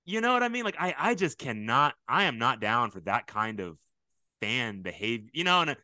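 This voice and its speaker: A man hosting a podcast in a casual interview chat, with a big booming voice and a loud, enthusiastic way of talking. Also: sing-song, brisk, dry recording, studio quality. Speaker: podcast host.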